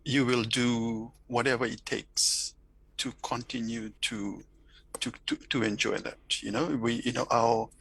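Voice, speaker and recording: A man's voice: medium pitch, level tone, unhurried, gravelly, a bit bright, husky, dry recording, poor audio. A middle-aged man from Fiji, speaking English with a Fijian accent, reflecting thoughtfully in an interview with many restarts and repeated words. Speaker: interview guest, academic.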